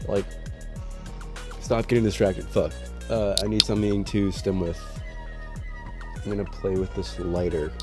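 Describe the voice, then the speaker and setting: A man's voice: low-pitched, fairly even intonation, unhurried, pauses often, over music. A young man telling rambling, profane storytime anecdotes in a casual vlog, sounding nasal because he is sick. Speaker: storytime vlogger. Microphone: dedicated voice mic.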